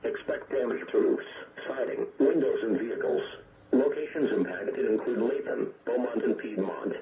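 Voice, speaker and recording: A synthetic-sounding man's voice reading formal emergency weather bulletins in a measured, impersonal way, as an automated radio announcer. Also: medium pitch, expressive, far from the mic, crowd noise. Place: weather radio broadcast picked up on a receiver. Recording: muffled, noisy, echoey weather radio broadcast.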